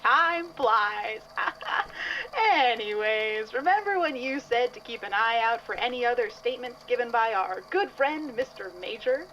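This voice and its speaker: A woman's voice: fairly high pitch, animated, a little slow, bright, forceful tone, lo-fi sound, slight background noise. A woman playing a playful, teasing archive assistant in an audio drama, drawing lines out in a sing-song way.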